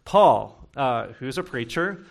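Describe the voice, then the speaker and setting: A young man in his late twenties or thirties preaching a sermon to his congregation, talking conversationally and slowing down to read scripture. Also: mid-range voice, sing-song, creaky. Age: young adult. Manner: conversational preaching with bursts of emphasis, reading scripture slowly. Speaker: pastor.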